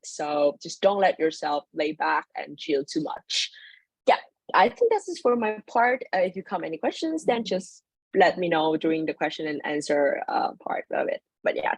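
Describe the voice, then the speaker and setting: A young woman with a low, slightly husky voice talks casually on a video call, full of fillers and restarts. The sound is dry and close, with no room around it. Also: no echo, rough recording. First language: Vietnamese. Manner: loose and chatty, frequent fillers and self-corrections. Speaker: webinar speaker, former student in Finland. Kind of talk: informal personal talk to an online audience.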